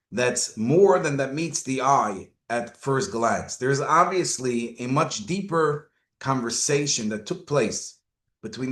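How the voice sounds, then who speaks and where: Animated rabbi teaching in an echoey room. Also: male voice, mid-range voice. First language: not Hebrew. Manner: rhetorical questions, Hebrew and Yiddish terms. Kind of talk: Torah lecture.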